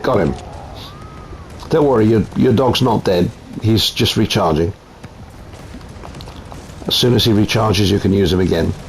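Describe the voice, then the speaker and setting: A man's voice: fairly low pitch, a little slow, pauses often, slight background noise. A man from Italy with an Italian accent, chatting casually at home through gameplay commentary with frequent ums. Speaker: game reviewer.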